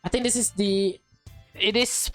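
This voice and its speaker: A woman's voice: medium pitch, fairly even intonation, a little slow, a bit bright, faint music behind. A woman reading visual novel text aloud as a let's player, with casual commentary mixed in.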